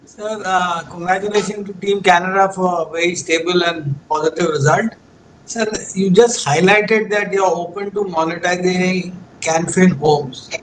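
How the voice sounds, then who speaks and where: A man's voice: high voice, moderate pace. A man with an Indian accent putting questions politely as an analyst on a conference call. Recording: noisy conference call line.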